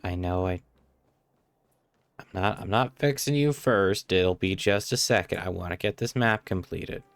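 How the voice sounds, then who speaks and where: A man's voice: low-pitched, a little slow, vocal fry, dry recording. A man streaming a game live, giving casual commentary as if muttering to himself. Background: faint game music.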